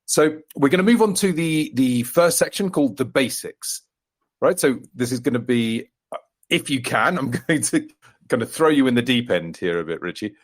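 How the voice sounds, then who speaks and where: A man's voice: mid-range voice, expressive, moderate pace. A man hosting a crypto podcast interview, enthusiastic and encouraging, often backing up his guest with short replies. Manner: enthusiastic, encouraging, with frequent backchannels. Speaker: podcast host, co-founder.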